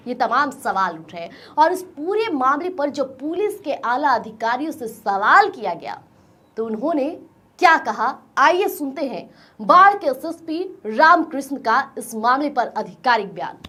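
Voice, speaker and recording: A woman's voice: sits high, lively intonation, normal speed, sharp tone, husky. A woman presenting scripted news in an emphatic, dramatic style, posing pointed rhetorical questions.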